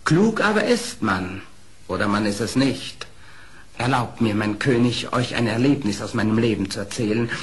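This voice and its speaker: An actor playing a sly, proud, eloquent fox in a radio play, speaking in a theatrical, deliberate way. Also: male voice, fairly low pitch, expressive, natural voice. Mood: smug, sly.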